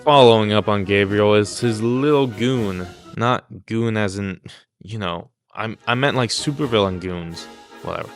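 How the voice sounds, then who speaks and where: A man's voice: fairly low pitch, measured pace, faint music behind. A man hosting casual comedic video commentary, mock-serious, blunt and crude with plenty of swearing. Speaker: YouTube host.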